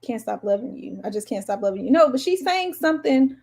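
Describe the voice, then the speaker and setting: A woman's voice: fairly high pitch, sing-song, fairly quick, creaky, darkish tone. A woman co-hosting a podcast, talking casually and emphatically about music as an opinionated fan. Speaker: podcast co-host.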